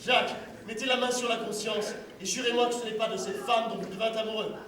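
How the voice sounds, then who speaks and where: A man acting a master who speaks in a measured, sententious way, heard from far off in an echoey hall. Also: high-pitched, fairly even intonation, fairly bright tone, a little hiss or hum. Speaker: master in a stage dialogue. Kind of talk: stage play acting.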